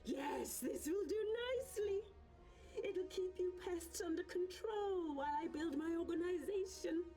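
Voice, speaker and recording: A woman's voice: fairly high pitch, sing-song, takes it slowly, mellow, soft background music. A woman playing an imperious, scornful villain genius in a video game, haughty and theatrical, drawing out words for emphasis. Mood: gloating. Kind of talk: theatrical cutscene acting.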